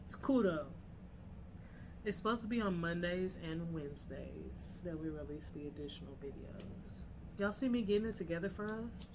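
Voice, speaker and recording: A woman's voice: on the low side, expressive, moderate pace, background noise. A young woman co-hosting a casual podcast with her wife, playful and slangy, laughing often. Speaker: podcast co-host. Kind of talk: casual chat.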